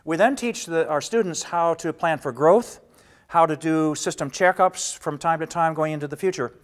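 Older man, fairly high voice, measured and explanatory, restarts phrases, lapel mic, studio. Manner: measured and explanatory, gesturing with both hands, frequent restarts. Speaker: data warehouse instructor. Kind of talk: explaining a training course to camera.

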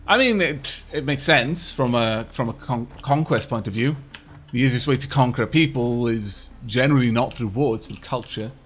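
A man's voice: medium pitch, moderate pace, fairly bright tone. Welsh man chatting over gameplay. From Wales. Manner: rambling, chatty with frequent fillers and restarts. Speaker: gaming streamer. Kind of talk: casual co-op gameplay chat.

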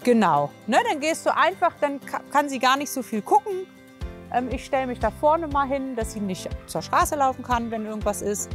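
A woman's voice: sits high, lively intonation, normal speed, a bit bright, faint music behind. A woman talking casually and informally as a chatty host, chatting to the camera and with clients. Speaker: horse physiotherapist, host.

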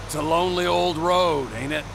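A man's voice: on the high side, fairly even intonation, fairly quick, vocal fry. An actor playing a volatile, menacing middle-aged criminal trucker in a video game, sardonic and profane, drawing out his words. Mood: wistful. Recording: vehicle noise behind the voice.

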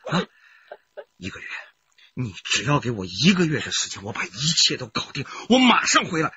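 Sneering crook gambler for radio drama, bright theatrical voice, very animated. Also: male voice, mid-range voice, normal speed, husky, muffled recording, heavily acted. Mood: desperate pleading. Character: cunning reckless male gambler, cornered murder suspect. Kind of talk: Chinese radio crime drama acting.

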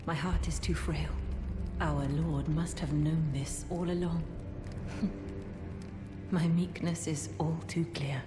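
Solemn manor lady in a game, low voice, slow formal talk, echoey with music. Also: steady tone. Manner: measured, solemn, formal speech. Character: grave, guilt-ridden mother who runs a manor. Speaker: game character. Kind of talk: video game cutscene acting. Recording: roomy echo.

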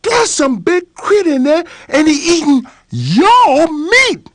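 A man's voice: extremely high pitch, huge pitch swings, sharp tone, slightly rough, dry recording, studio quality, voice pushed up, heavily acted. A man voicing a sly, boastful male trickster rabbit who talks in a wheedling, folksy way. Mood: alarmed. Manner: wheedling, folksy, quick-witted.

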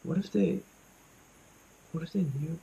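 A young man performing a dramatic monologue as an anxious, guilt-ridden student, speaking haltingly and repeating his words. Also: on the high side, gravelly, warm tone, muffled recording. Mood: anxious, uneasy. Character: anxious, guilt-ridden student confiding about a troubled friend.

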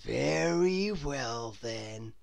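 A woman voicing a friendly, adventurous male cloud creature in a children's storybook, with drawn-out, inviting words. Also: rumbling low, lively intonation, gravelly, darkish tone, voice pushed down, exaggerated voice. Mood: dreamy, inviting.

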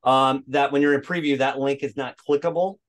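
A man's voice: mid-range voice, fairly even intonation, fairly quick. Meetup host, chatty man narrating his screen on a video call. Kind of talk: casual video call demo.

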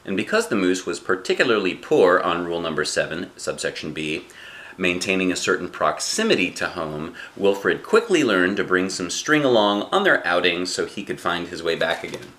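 A middle-aged man reads a story aloud with a widely swinging, animated delivery. He is playful and expressive, stepping out of the text for chatty asides and questions, as a dad does with a child. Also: low voice, normal speed. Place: small living room indoors. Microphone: camera a short distance away.

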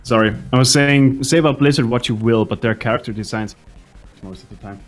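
A man's voice: medium pitch, soft background music. Eager, swearing man on a call. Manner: enthusiastic, profane, halting restarts. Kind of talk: casual chat over a voice call.

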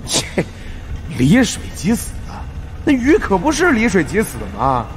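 High-pitched, brash, grumbling fat guy. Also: huge pitch swings, measured pace, exaggerated voice. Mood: sarcastic grumbling. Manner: brash, sarcastic, mouthy. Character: brash, grumbling fat young man, quick to bicker. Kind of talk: audiobook character dialogue.

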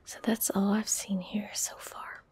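Female, twenties, for ASMR gameplay narration: hushed breathy whisper, very even pitch, calm and close-miked. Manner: calm, gentle, unhurried. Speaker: streamer narrating her gameplay. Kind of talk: soft-spoken ASMR game commentary. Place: indoor room at a gaming desk. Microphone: close desk microphone.

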